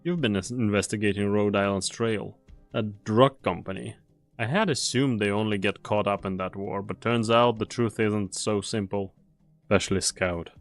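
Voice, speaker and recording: A man playing a weary, fatalistic mercenary in an anime game fan dub, speaking gravely and deliberately. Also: lowish voice, soft background music, lightly acted. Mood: probing, suspicious.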